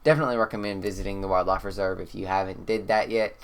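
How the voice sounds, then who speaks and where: A man with a Kentucky accent, congested and slightly hoarse, explaining one of his photos in a relaxed, rambling way with lots of "um.". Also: deep voice, fast. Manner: relaxed, rambling, frequent "um" fillers. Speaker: photographer and channel host. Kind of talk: casual talk-to-camera explainer. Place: indoors.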